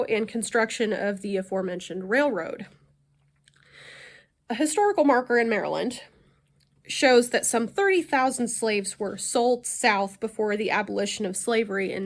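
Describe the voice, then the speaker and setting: A young woman with a cold, sounding nasal, reading a class presentation with frequent "um" fillers. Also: fairly high pitch. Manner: frequent "um" fillers, measured delivery. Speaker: student presenter.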